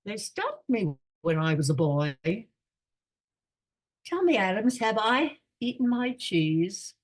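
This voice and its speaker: A woman's voice: medium pitch, very animated, slow. A woman playing a doddering, absent-minded elderly lord in a radio comedy, with a pompous, rambling manner. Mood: musing, genial. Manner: pompous, rambling aristocratic musing. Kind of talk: radio play comedy acting.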